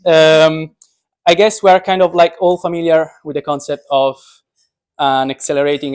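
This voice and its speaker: Joking young man giving an informal science talk. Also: a little high. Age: young adult. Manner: joking, self-deprecating. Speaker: speaker, physics PhD student.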